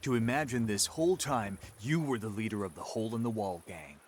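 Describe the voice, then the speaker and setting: Man acting an earnest, low-voiced frontier hero. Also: brisk, close to an everyday voice. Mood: indignant. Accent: American. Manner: plain-spoken, serious, firm. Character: earnest, resolute Old West frontier soldier of fortune. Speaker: hero of a game cutscene. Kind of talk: dramatic game cutscene acting.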